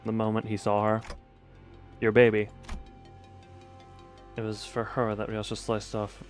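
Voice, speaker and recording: A man's voice: on the low side, completely flat delivery, a little slow. A man on a live game stream, reading dialogue aloud theatrically and adding dry, casual asides. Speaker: livestreamer. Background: game music.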